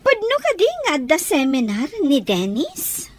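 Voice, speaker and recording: A woman's voice: high-pitched, wildly swooping pitch, a little slow, exaggerated voice. A woman playing an anxious, suspicious young wife in an audio drama. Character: suspicious, anxious young wife questioning her partner's absences.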